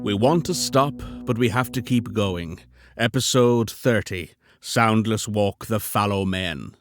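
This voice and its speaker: Slow, lowish man telling a story. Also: faint music behind. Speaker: narrator. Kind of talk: scripted atmospheric storytelling.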